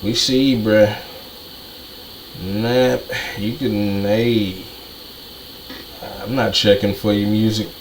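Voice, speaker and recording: A man's voice: lowish voice, steady tone, drawn-out words, pauses often, poor audio. Ranting man with faint noise behind. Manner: ranting, repetitive, profane. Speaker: commentator. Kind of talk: heated monologue.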